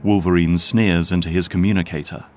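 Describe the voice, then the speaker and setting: A man's voice: deep voice, steady tone, brisk, a little mellow. A man reading scripted scene narration as the narrator of an audio drama. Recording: music under narration.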